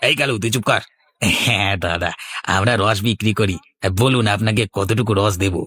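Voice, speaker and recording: A man's voice: lowish voice, moderate pace, pushed and bright. A man playing a boastful, bossy village swindler in a cartoon, gloating and scolding with an oily manner. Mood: scheming. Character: crafty, boastful male village juice swindler, bossy ringleader. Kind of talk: cartoon voice acting.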